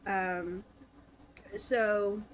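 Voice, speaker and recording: A woman's voice: medium pitch, fairly even intonation, extremely slow, a little hiss or hum. A woman in her twenties from Alabama, stuffy from a cold, telling her own story in a casual, hesitant vlog with many fillers. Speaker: vlogger.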